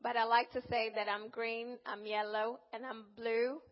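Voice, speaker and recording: A woman's voice: a little high, flat delivery, unhurried. A woman interpreting a sermon phrase by phrase, often restarting and correcting herself. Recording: long reverb, dull sound.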